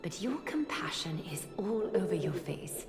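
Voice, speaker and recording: A woman voicing a wise, gentle seamstress and mentor in an anime game, composed and knowing. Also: lowish voice, very animated, normal speed. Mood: knowing, gently chiding. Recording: roomy echo, music behind.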